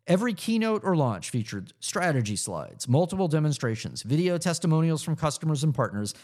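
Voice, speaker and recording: A man narrates his own memoir, reading at a measured pace with a lively, rising-and-falling pitch. Now and then he trips on a word and starts it again. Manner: measured reading with occasional stumbles and restarts. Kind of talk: audiobook narration.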